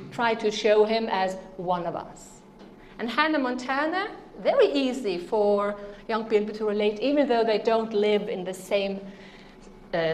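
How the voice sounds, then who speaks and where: A woman's voice: fairly high pitch, darkish tone, a little hiss or hum. A middle-aged woman with a Norwegian accent, giving an earnest, emphatic conference lecture with rhetorical questions to the audience. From Norway. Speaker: speaker.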